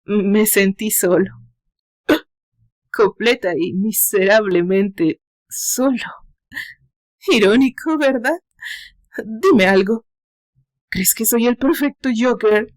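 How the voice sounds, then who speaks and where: A woman voicing a drunk, heartbroken rich man in an audio story, with slurred, drawn-out, emotional delivery. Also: mid-range voice, lightly acted. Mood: self-pitying. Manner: emotional, broken by gasps and bitter laughs. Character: drunk, heartbroken wealthy male tycoon, bitter and pleading.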